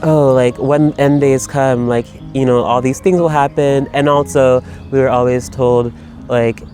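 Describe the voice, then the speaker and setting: A man of ordinary pitch talks in a loose, reflective monologue, circling his thoughts with frequent fillers and restarts. Faint music sits underneath. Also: fairly even intonation, measured pace, dark tone. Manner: rambling, reflective, many fillers. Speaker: writer. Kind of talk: personal video monologue. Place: Berlin.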